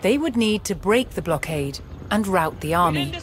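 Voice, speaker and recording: A woman delivering scripted, documentary-style campaign narration for a game in a measured, formal storytelling manner. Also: on the low side, expressive, heavy background noise.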